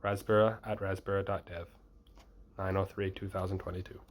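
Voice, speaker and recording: A man's voice: sits low, little pitch movement, brisk, a little hiss or hum. A man reading a written piece aloud, sometimes stumbling over words and slipping into casual asides. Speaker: host reading a blog post aloud.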